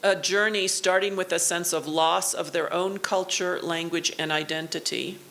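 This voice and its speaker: A woman delivers an academic lecture in a university auditorium. Her voice sits fairly low and she speaks very slowly, in short measured phrases with pauses between them. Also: fairly even intonation, creaky, fairly bright tone, a little hiss or hum. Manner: slow, measured, pausing for the interpreter. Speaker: keynote lecturer. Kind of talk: academic lecture in English with consecutive interpreting.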